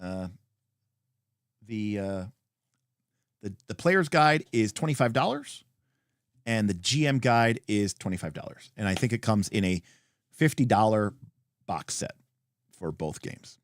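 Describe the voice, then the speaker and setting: Man, livestream host, unscripted chat, expressive pitch swings, rambling, opinionated, emphatic, dry close sound. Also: a little slow, pauses often, dry recording. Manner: rambling, thinking aloud. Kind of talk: reading and answering viewer comments.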